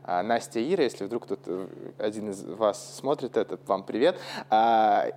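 A man's voice: on the low side, expressive, moderate pace, a little mellow, slight background noise. A man from Russia co-hosting a podcast, speaking casually and thinking aloud in digressions. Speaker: podcast co-host.

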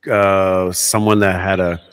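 A man with a deep, low voice hosts a podcast in loose, easygoing conversation. He rambles, piling up "like" and "uh" and restarting his sentences as he goes. His voice rings out with a long reverb tail. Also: flat delivery, measured pace, clean tone. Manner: rambling, many fillers and restarts. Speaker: podcast host. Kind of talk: casual conversation.

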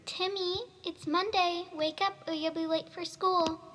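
A stern, practical mother in a homemade radio play acted by a high female-sounding voice. She speaks in a high pitch, with long reverb and noise around her. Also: level tone, a little slow, bright, forceful tone, exaggerated voice. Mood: matter-of-fact. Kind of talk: amateur radio drama acting.

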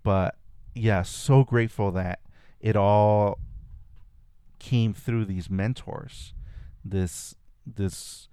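A man's voice: fairly low pitch, fairly even intonation, extremely slow, mellow, smooth, dry recording, studio quality. A man whose first language is Spanish, a guest reflectively telling stories from his childhood in a casual conversation. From California. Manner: reflective storytelling with frequent restarts.

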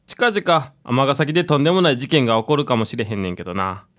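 A man's voice: mid-range voice, sing-song, quick pace, fairly bright tone. A man with a Kansai accent playing a gossipy young working man passing on tips in a radio drama, colloquial and conspiratorial.

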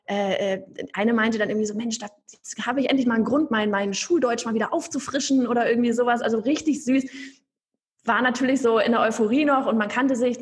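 A young woman talking casually as a podcast guest, lively and chatty with many fillers and restarts. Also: fairly high pitch. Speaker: podcast guest, online course creator.

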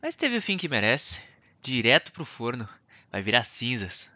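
An actor playing a casual, callous morgue colleague with an offhand manner in an audio drama. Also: male voice, medium pitch, wildly swooping pitch, normal speed, sharp tone, dull audio, exaggerated voice. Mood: callous chat.

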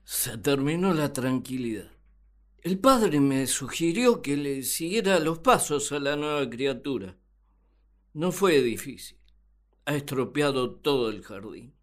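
A woman's voice: sits low, big pitch swings, measured pace, full character voice. A woman playing a naive, grumbling first man who loves solitude, with whiny, exaggerated delivery in a radio drama. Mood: exasperated complaining. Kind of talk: radio drama monologue and dialogue.

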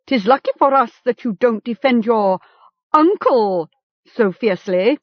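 A woman's voice: on the high side, animated, unhurried, no echo, highs cut off, voice pushed up. A woman voicing a mocking male chieftain in a storybook, theatrical and accusatory. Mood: scornful. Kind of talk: storybook character acting.

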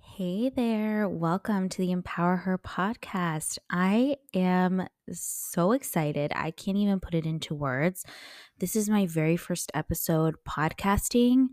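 American woman, young adult, slightly low pitch, chatty, enthusiastic, emphatic, informal solo podcast, dry close sound. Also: measured pace, darkish tone, no echo. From United States. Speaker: podcast host and life coach.

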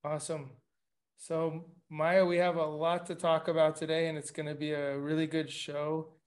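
A man's voice: fairly high pitch, little pitch movement, normal speed. A middle-aged man from Latin America hosting an interview podcast from his living room over a video call, asking long questions with frequent restarts. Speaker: podcast host.

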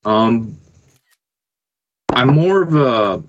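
A man speaking as a musician guest in a casual interview, thinking aloud in a halting way. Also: mid-range voice, lively intonation, muffled recording.

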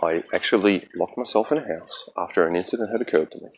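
A man's voice: low voice, fast, slight background noise. An Australian man hosting a solo podcast, speaking in an earnest, reflective way with many ums and restarts. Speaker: podcast host.

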